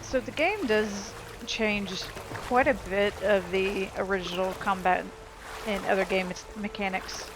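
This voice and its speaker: A woman's voice: mid-range voice, a little slow, noisy background. A woman giving casual, informal and rambling gameplay commentary as she explains how a game works. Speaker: game streamer. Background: game music and sound effects.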